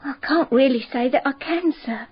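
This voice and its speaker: Radio play maid, female: high voice, respectful and precise, dull recording played by an actress. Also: moderate pace, lightly acted. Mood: helpful, matter-of-fact. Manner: respectful, precise, matter-of-fact. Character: deferential female maid answering a detective's questions. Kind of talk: radio drama acting.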